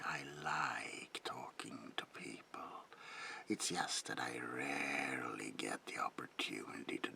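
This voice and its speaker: A man voicing an eerie, courteous old vampire baron in a breathy gravelly whisper for an ASMR horror roleplay. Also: rumbling low, sharp tone, heavily acted. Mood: wistful, eerie. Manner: slow, theatrical, lingering whisper with archaic politeness.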